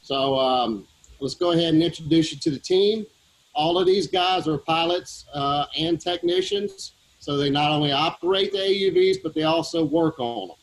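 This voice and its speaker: A man with a Southern US accent, a team leader explaining his work to schoolchildren in a relaxed way with many fillers, aboard a ship. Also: a little high, moderate pace, gravelly, rough recording. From Louisiana, USA. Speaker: underwater vehicle team leader. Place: operations room on a ship.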